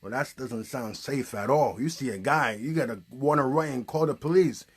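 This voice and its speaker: A man's voice: mid-range voice, normal speed, breathy edge. A man hosting an improvised puppet show, prompting a story along with conversational questions. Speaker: puppeteer host.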